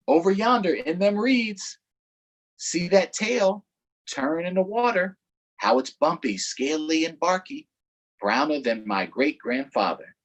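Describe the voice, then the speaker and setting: A man voicing an excitable, folksy swamp boat guide in a storybook, wary of a gator and speaking with exaggerated delivery. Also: a little high, a little slow, rough recording. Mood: hushed excitement.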